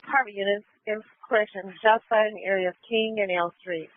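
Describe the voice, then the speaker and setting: A woman's voice: mid-range voice, steady tone, fairly quick, dry recording. A woman working as a police dispatcher, giving clipped, procedural calls over the radio and repeating instructions. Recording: band-limited tinny police radio.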